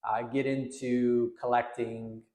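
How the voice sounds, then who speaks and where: A man's voice: mid-range voice, slow. Reflective Lebanese-born man, echoey room. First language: Arabic. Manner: relaxed, hesitant with many fillers and restarts. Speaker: podcast guest. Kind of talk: casual interview chat.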